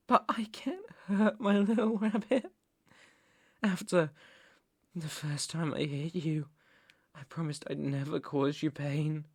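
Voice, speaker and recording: A man with a British accent playing a possessive, unstable mafia boss in an ASMR roleplay, brooding and deliberate, with menacing tenderness. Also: fairly high pitch, vocal fry, breathy edge, no room sound, studio quality, heavily acted. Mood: quiet menace. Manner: slow, deliberate, brooding, condescendingly affectionate. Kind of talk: ASMR roleplay, dramatic monologue.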